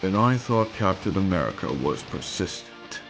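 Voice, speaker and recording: A man voicing a ruthless, arrogant conqueror villain in an amateur dramatic reading, gravelly and full of grandiose threats. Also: deep voice, very animated, normal speed. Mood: cold menace. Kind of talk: amateur dramatic reading of comic fan fiction. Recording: music under the voice.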